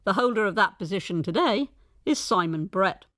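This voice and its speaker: A woman's voice: mid-range voice, lively intonation, moderate pace, a bit bright, no room sound, studio quality. A woman working as a radio presenter, moving between scripted narration and interview questions.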